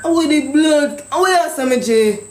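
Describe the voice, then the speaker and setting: Jamaican man, very high voice, pushy accusing prank caller, echoey room. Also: lively intonation, normal speed, a little hiss or hum. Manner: pushy, accusing, patois-heavy. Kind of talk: prank phone call.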